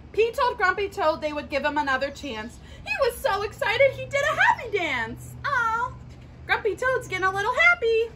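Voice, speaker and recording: Young female storytime reader, unhurried, very lively and expressive, sing-song delivery for small children. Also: extremely high pitch, slight background noise. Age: young adult. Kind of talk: children's picture book read-aloud. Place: outdoors in a leafy garden.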